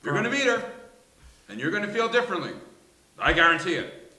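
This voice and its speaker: A man's voice: high voice, big pitch swings, a little fast, bright, forceful tone, voice pushed up, full character voice. A man voicing a gushing, evasive Texas businessman at a live sitcom table read, effusive and emphatic, in a roomy space. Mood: persuasive. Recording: roomy live venue, hiss.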